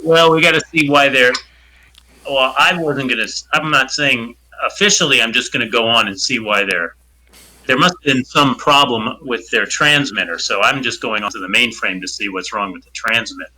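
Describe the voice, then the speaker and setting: Bright-toned male player. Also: mid-range voice, moderate pace, rough recording. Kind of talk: playing a tabletop game.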